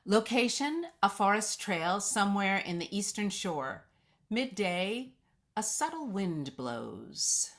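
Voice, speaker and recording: A woman's voice: mid-range voice, big pitch swings, slow pace. A woman presenting and narrating a script read.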